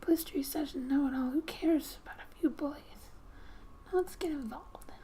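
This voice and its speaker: A woman speaking softly and breathily in gentle ASMR style, often slipping into a whisper as she reads a game story aloud with casual asides. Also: high-pitched, normal speed, a little hiss or hum. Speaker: gaming ASMR creator. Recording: quiet background music. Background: soft music.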